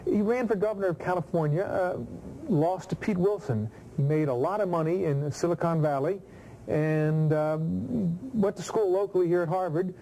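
A middle-aged male reporter talks off the cuff with many fillers. His voice sits a bit high and sounds mellow. He is recorded on a lapel mic in a studio with a faint hiss behind him. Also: normal speed, poor audio. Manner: unscripted, many uhs and ums. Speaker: TV news reporter. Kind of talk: studio debrief with anchor. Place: TV news studio.

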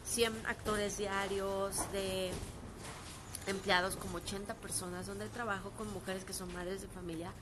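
A woman in her thirties with a Mexican accent talks casually and earnestly into her phone on an outdoor terrace. She is hoarse from a sore throat and dry cough, and she pauses often with fillers. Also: mid-range voice, level tone, background noise. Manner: earnest, halting, with frequent fillers. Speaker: actress streaming live. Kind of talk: casual live-stream talk. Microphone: phone at arm's length.

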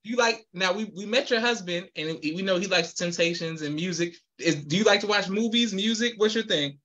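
A man hosting a podcast interview over a video call, upbeat and quick to laugh. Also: high voice, a bit bright, highs cut off. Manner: upbeat, laughs often, repeats "you can't go wrong". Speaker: podcast host.

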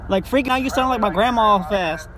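A man's voice: high-pitched, animated, brisk, a crowd in the background, low-quality recording. A young man pranking drive-thru workers from inside a car, recorded on a phone held close. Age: young adult. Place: inside a parked car at night. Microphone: phone held close in front. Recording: car interior with faint noise.

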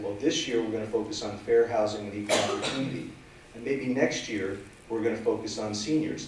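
A middle-aged man giving public comment at a hearing, forceful and emphatic, standing and gesturing as he speaks. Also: mid-range voice, a little hiss or hum. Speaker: legal aid director giving public comment. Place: council chamber. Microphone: standing away from desk mic. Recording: echoey chamber, far from mic.

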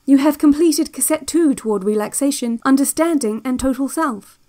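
A woman playing a calm, controlling cassette instructor in an audio drama, giving slow, measured, clinical guided meditation. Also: sits high, lightly acted. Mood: calm, instructive. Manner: measured, deliberate, hypnotic, clinical. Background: new-age music.

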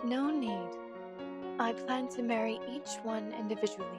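A composed young cartoon cat bride voiced by a woman, regal and formal in manner. The voice is slightly high, over soft music. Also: normal speed, lightly acted. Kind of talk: fan comic dub.

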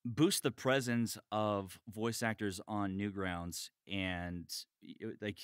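A man hosting a casual podcast as a voice actor, chatty and excitable, with some swearing. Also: lowish voice, slow, clean tone, dry recording, studio quality.

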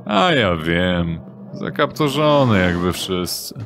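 A man's voice: deep voice, very animated, slow, noisy background, heavily acted. A sly, thick-voiced thug doorman. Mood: mocking. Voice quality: thick unpleasant voice. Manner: mocking, teasing, drawling. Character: short burly sly male doorman thug. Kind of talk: audio drama acting.

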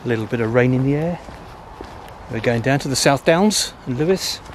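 A man's voice: medium pitch, lively intonation, moderate pace, music in the background. A man in his fifties from England, chatting casually and rambling to a handheld camera while walking around a country churchyard. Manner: chatty, rambling, self-deprecating asides. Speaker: travel vlogger. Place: outdoors by a roadside country churchyard. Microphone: handheld selfie camera at arm's length. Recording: traffic noise and wind outdoors. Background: passing traffic and wind.

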